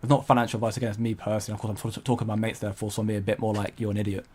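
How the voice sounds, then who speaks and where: A man's voice: fairly low pitch, level tone, racing, dark tone, breathy edge. A young man hosting a casual crypto livestream, rambling and hesitant with frequent restarts while he reads out viewer comments. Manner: rambling, hesitant, many restarts, laughs often.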